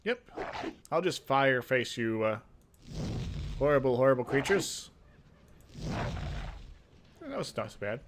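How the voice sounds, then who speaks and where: A man's voice: medium pitch, big pitch swings, takes it slowly, long pauses, noisy background. A man hosting a gaming video, reading a fantasy game aloud theatrically and dropping into casual asides. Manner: theatrical storytelling broken by offhand asides. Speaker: gaming video host and narrator.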